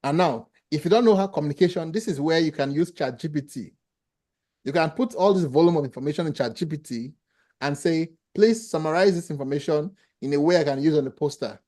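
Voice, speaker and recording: Mellow-voiced Nigerian man teaching online. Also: a little high, brisk. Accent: Nigerian, with a slight Ghanaian tinge. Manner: explanatory, plain-spoken, answers questions patiently. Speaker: professor teaching a webinar class. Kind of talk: online class lecture and Q&A. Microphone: video call.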